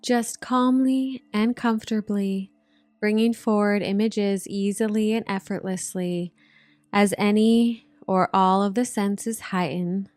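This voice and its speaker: A woman narrating a guided meditation in a soothing, gentle manner, with drawn-out hypnotic phrasing. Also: mid-range voice, steady tone, no echo. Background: relaxation music.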